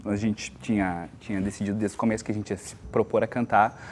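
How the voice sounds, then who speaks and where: A man giving an interview, haltingly, repeating words as he goes. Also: on the low side, little pitch movement, a little mellow, a little hiss or hum. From Brazil. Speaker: stage actor.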